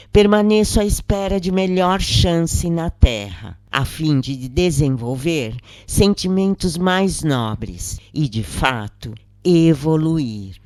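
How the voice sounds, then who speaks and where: Solemn narrator reading slowly, very dry sound. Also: mid-range voice, darkish tone, no echo. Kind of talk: scripted narration, reading a first-person spiritual testimony.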